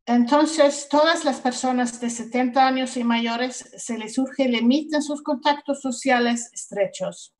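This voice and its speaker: A Swedish woman, speaking Spanish as a second language, reads a prepared talk carefully as a panelist on a video call, with small hesitations. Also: fairly high pitch. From Sweden. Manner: careful, deliberate reading with hesitations. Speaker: social worker, panelist.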